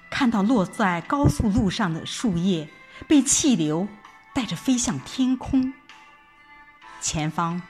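Female audio drama narrator, lowish pitch, very varied intonation, telling a first-person story with faint music behind. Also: darkish tone.

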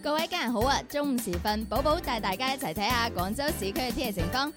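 High, quick-talking female radio presenter over music. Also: lively intonation. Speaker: radio co-host and weather presenter. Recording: loud music behind the voice.

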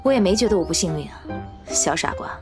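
A woman playing a composed, resolute, protective young fighter in an audio drama, with a brisk manner. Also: fairly low pitch, lively intonation, lightly acted. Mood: gently fond. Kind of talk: Chinese audio drama acting. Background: music.

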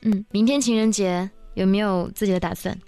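A woman hosting a radio call-in show, gentle and encouraging with her callers. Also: medium pitch, fairly even intonation, fairly quick, dry recording, faint music behind. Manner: gentle, encouraging, soft-spoken. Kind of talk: late-night radio call-in chat.

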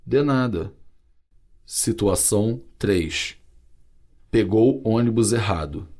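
A man with a Brazilian Portuguese accent reading vocabulary and dialogues for a language course, clear and carefully articulated. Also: fairly low pitch, expressive, takes it slowly, darkish tone. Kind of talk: slow scripted language-lesson reading.

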